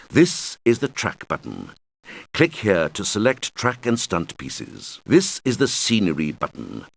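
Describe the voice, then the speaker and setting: A man's voice: deep voice, full character voice. A man voicing a helpful video game guide who patiently explains things to young players in scripted instructional lines. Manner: patient, clearly explanatory, addressing children. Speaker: game tutorial guide character.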